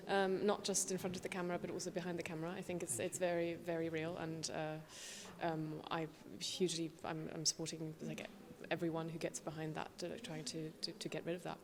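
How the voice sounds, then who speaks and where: A woman's voice: low voice, flat delivery, brisk, few pauses, crowd noise. A woman from Germany, an actress, giving short, dry answers.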